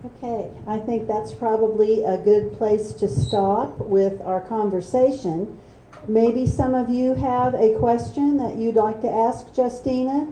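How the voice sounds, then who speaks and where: Mellow-voiced woman moderating a book talk. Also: fairly high pitch, fairly even intonation, measured pace, echoey room, a little hiss or hum, poor audio. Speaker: event interviewer. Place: bookstore event space.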